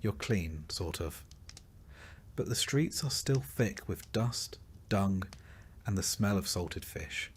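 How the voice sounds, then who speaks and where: A man reading a scripted history sleep story in a measured, descriptive storytelling manner. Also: deep voice, animated, slight background noise. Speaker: narrator.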